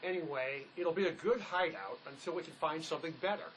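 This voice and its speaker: A man's voice: on the high side, a little fast, a bit bright, slight background noise, close to an everyday voice, poor audio. A man acting as a laid-back, resourceful escaped convict in a drama, speaking in a dry, folksy, matter-of-fact way. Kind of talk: dramatic script performance.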